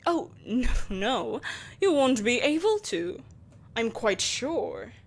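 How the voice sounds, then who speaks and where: A woman's voice: a little high, big pitch swings, slow pace, slightly rough, heavily acted. A woman playing an imperious, seductive evil empress in a scripted roleplay audio drama, speaking in a haughty, theatrical, coaxing manner. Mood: coaxing, taunting. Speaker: voice actor.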